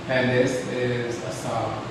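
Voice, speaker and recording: A man's voice: mid-range voice, flat delivery. Man lecturing in a very echoey room. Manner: deliberate, rhetorical questions, repeats phrases for emphasis. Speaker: spiritual teacher. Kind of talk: spiritual lecture. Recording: very echoey reverberant room, distant, loud hiss.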